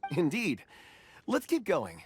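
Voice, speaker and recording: A man's voice: on the high side, huge pitch swings, slow, a little hiss or hum, exaggerated voice. A man playing an earnest, polite, thoughtful young rock expert in an English video game dub.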